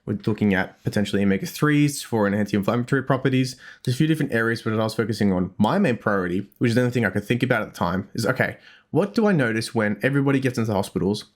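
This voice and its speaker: A man's voice: medium pitch, animated, racing. A young man with an Australian accent, chatting casually on a health podcast, rambling with self-deprecating asides and frequent restarts. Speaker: dietitian podcast host.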